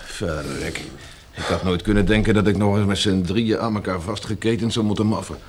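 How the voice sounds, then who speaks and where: A low-pitched, easygoing man in chains played by an actor in a radio drama. He is wry and chatty, a joking working-class companion with a plain, colloquial way of talking. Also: fairly quick, a little hiss or hum, natural voice. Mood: chatty, conciliatory. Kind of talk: Dutch radio play acting.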